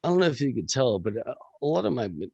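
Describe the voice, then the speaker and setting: A man with a gravelly voice, a musician chatting casually as a podcast guest, rambling with lots of fillers and swearing. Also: mid-range voice, sing-song, fast, a little mellow, no echo, lo-fi sound.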